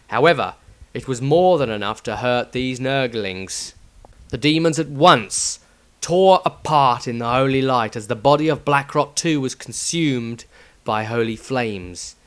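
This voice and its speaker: Male storyteller, mid pitch, slow and solemn delivery of scripted dark fantasy narration. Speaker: author reading own fiction aloud.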